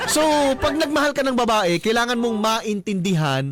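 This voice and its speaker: A man's voice: very high-pitched, animated, moderate pace. A Filipino man hosting a radio advice show, counseling conversationally and explaining things with frequent rhetorical questions. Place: radio studio.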